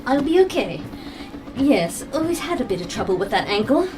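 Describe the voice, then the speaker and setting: A woman playing a quick-witted, eccentric time-travelling adventurer in an audio drama, rambling breezily and flippantly even in danger. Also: on the high side, recorded from a distance, noisy background. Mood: flippant, brisk.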